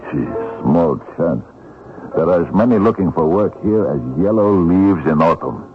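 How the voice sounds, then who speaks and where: Deep, swooping, grumbling drifter. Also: male voice, animated, moderate pace, a little hiss or hum. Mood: self-pitying. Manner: grumbling, hesitant hems and haws, swooping. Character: brash, wheedling, down-and-out Russian vagrant drifter. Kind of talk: old-time radio drama acting. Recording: narrow, lo-fi old radio broadcast.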